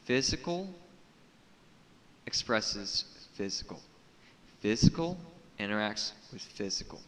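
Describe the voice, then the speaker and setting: Echoey, bright-voiced man in halting monologue. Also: fairly low pitch, sing-song. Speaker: video blogger. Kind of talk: unscripted spiritual monologue to camera. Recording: echoey reverberant room with audible hiss.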